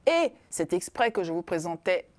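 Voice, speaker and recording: A woman's voice: on the low side, sing-song, normal speed. Formal woman TV show host. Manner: warm formal presenter, theatrical introductions. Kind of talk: TV talk show hosting.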